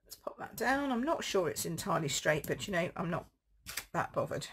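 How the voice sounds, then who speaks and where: Chatty croaky crafter. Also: female voice, lowish voice, very animated, a little fast, a bit bright, a little hiss or hum. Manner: chatty, rambling, thinks aloud while crafting. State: croaky throat. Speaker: card-making demonstrator. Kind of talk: casual live craft demo.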